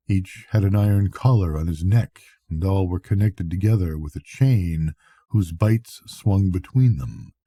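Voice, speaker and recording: An older man reading a literary bedtime story in measured phrases with pauses. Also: deep voice, mellow, slightly rough, no echo, studio quality. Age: older, a grandfather. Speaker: audiobook reader.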